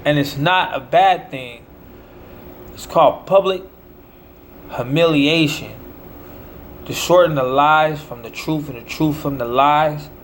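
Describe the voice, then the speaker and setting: American man, slightly high pitch, declamatory short phrases, rambling monologue, noticeable air-conditioning noise behind. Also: takes it slowly, pauses often, a bit bright. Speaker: self-promoting musician.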